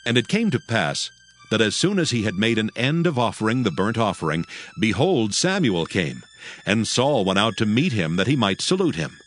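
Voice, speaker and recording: A man's voice: on the low side, very animated, moderate pace, a bit bright, slight background noise. A man narrating scripture in a solemn, scripted, dramatic storytelling style. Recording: music under the narration.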